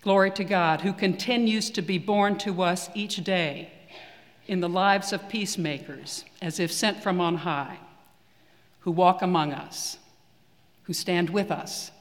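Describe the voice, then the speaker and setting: A man preaching a sermon in a church, measured and earnest with deliberate pauses. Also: high-pitched. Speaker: priest. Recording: long reverb of a church hall.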